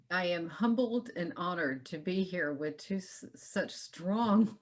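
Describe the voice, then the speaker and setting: A woman in her sixties, a guest on a video-call interview, telling her story in a gracious, reflective way. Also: on the low side. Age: 60s.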